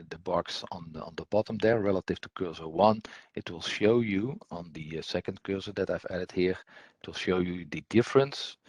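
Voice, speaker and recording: Male software instructor, deep and husky, hesitant unscripted walkthrough delivery, dry recording. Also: no room sound. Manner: halting, hesitant delivery with repeated words. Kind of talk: unscripted screen-share software tutorial.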